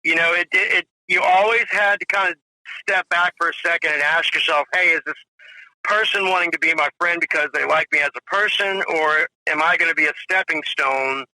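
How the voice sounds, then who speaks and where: A man's voice: on the high side, brisk, pushed and bright, no echo, poor audio. A man in his forties, a drummer guest telling rambling stories in a casual interview, full of "you know" and "if you will". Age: 40s.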